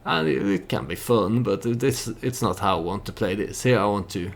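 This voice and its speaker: A man giving casual storytelling commentary over a strategy game, hesitant and halting as he thinks aloud. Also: lowish voice, creaky, a little hiss or hum. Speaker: let's play YouTuber narrating his strategy game.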